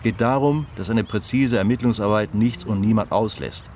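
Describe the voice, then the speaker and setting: An actor playing a dry, sceptical police detective, laconic and gently mocking, in naturalistic radio drama dialogue. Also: male voice, fairly low pitch, normal speed, slight background noise, close to an everyday voice. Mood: measured, reasonable.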